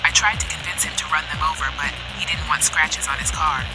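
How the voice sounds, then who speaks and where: A woman's voice: low-pitched, steady tone, a little fast, loud music behind the voice, close to an everyday voice, poor audio. A woman voicing an anxious young kid on a mission, explaining things worriedly in a comic dub. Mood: concerned.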